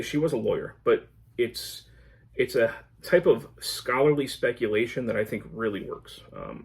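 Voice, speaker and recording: A man's voice: lowish voice, creaky. A man talking casually as a book reviewer, reflective and a little hesitant as he picks his words. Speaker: book reviewer video host. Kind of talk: casual book-tag chat to camera.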